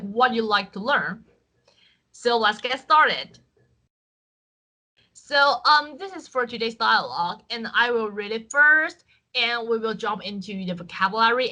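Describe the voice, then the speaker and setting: A woman from Taiwan, a native Chinese speaker with a Taiwanese accent, teaching an online Chinese lesson in clear, patient English with frequent fillers. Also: a little high, bright, forceful tone. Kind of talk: online language lesson with screen share.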